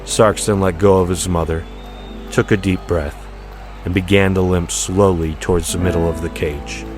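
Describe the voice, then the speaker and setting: A man's voice: deep voice, steady tone, moderate pace. A man giving a dramatic, scripted audiobook narration of a story, with music behind him.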